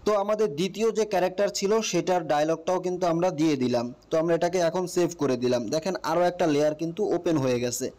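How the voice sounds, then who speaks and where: A man's voice: fairly high pitch, very fast, few pauses, darkish tone. A man with a Bangladeshi accent hosting a casual step-by-step app tutorial, explaining and often checking that the listener follows. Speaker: tutorial host.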